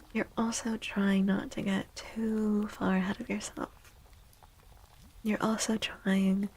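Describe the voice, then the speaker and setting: A woman giving a tarot reading in soft-spoken ASMR style, gentle and reassuring, with a breathy voice that often drops into a whisper. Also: medium pitch, poor audio. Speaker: tarot reader, streamer.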